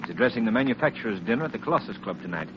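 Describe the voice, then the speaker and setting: Male actor as urbane radio sleuth, deliberate and dry, low voice, lively inflection, old hissy recording. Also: natural voice. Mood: brisk, teasing. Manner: measured, deliberate, dryly teasing. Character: suave, self-assured gentleman sleuth. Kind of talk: 1930s radio acting. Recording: noisy, muffled 1930s radio recording.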